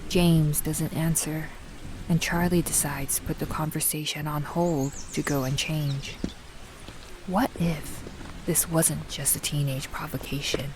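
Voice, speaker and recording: A woman with a low-pitched voice narrates an audio drama slowly. She tells it in a measured, suspenseful way over faint background ambience. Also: steady tone, noisy background.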